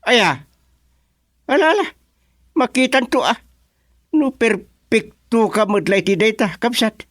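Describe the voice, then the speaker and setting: A performer playing a village chairman who placates quarrelling neighbours with hemming, drawn-out replies in a comedic radio drama. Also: mid-range voice, big pitch swings, a bit bright, no echo, clean studio sound. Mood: placating.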